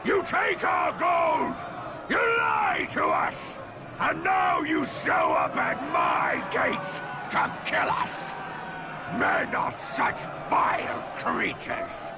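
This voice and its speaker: An actor playing a bloodthirsty, vengeful Orc warlord in a video game, with a gravelly voice and a menacing manner. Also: male voice, rumbling low, wildly swooping pitch, extremely slow, music in the background, crowd noise, heavily acted. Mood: scornful, menacing. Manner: menacing, shouting threats. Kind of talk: video game villain acting.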